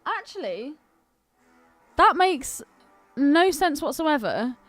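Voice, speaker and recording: A woman's voice: sits high, big pitch swings, slow pace, fairly bright tone, soft background music. A British woman co-hosting a podcast in casual film banter, cheeky, blunt and sweary. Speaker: podcast co-host. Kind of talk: casual banter about films.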